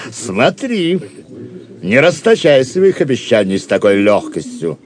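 An actor playing a calm, good-humoured and mysterious knight in an audio drama, measured and dignified. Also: male voice, medium pitch. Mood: dignified, amused.

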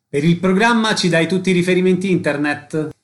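Man, radio interviewer, somewhat high pitch, scripted presenting, short questions. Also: brisk.

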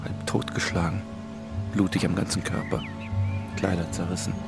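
A man acting a grim, embittered policeman in a radio drama, speaking in sombre, halting phrases. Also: deep voice, level tone, vocal fry, over loud music. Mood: grieving, bitter. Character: grim, embittered policeman haunted by his sister's fate. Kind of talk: German radio drama acting, intimate confession.

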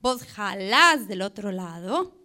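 A woman's voice: mid-range voice, very animated, slow pace, bright, forceful tone, full character voice. A woman acting a chirpy, scatterbrained housemate in a radio drama, chattering and repeating words. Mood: eager, coaxing. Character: scatterbrained, chirpy housemate fussing over towels and doors.

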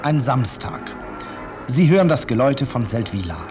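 A man's voice: mid-range voice, expressive, moderate pace, close to an everyday voice. A man acting as the wry narrator of a 1950s German radio drama, addressing the listeners. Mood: wry, solemn. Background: music.

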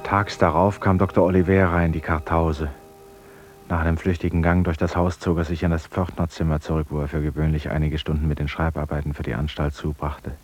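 An actor in a German radio drama playing a sober, determined asylum inmate who speaks and narrates in a measured, deliberate way. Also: male voice, very deep voice, flat delivery, faint music behind. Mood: reflective narration.

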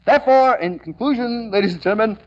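A man's voice: high voice, quick pace, lo-fi sound, noisy background. A man giving a rambling informal poetry recital, mock-grandiose and declamatory, breaking into banter, on an archival tape recording. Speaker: poet.

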